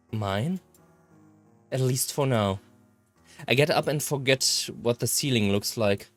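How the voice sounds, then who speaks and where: A man reads a visual novel aloud at a very slow pace. His delivery is halting and slightly theatrical, with a medium pitch. Faint music plays behind him. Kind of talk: expressive read-aloud narration of a visual novel.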